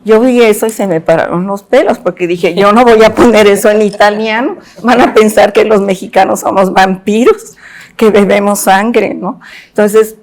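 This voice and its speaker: A woman interpreter speaking on a panel discussion. She is a lively storyteller who acts out the lines of the people in her stories. Her voice sits at a middling pitch.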